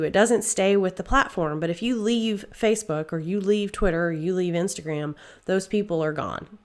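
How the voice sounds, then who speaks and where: A woman hosting her own podcast in a casual, chatty way, sounding nasal and stuffy from a head cold, upbeat and playfully sarcastic. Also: medium pitch. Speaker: podcast host. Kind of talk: casual solo podcast talk.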